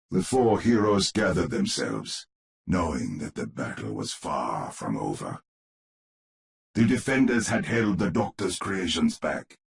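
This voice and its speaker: An actor playing a grave old storyteller, narrating a gothic horror tale for a game in a theatrical, portentous, measured way. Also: male voice, a bass voice, gravelly, full character voice.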